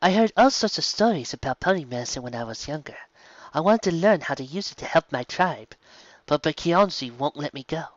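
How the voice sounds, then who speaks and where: Young zebra girl played by a man: excitable, inquisitive, earnest storybook character, pitched up, dry muffled recording. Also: fairly quick, no echo, close to an everyday voice. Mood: excited, earnest. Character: young female zebra, eager, curious, naive.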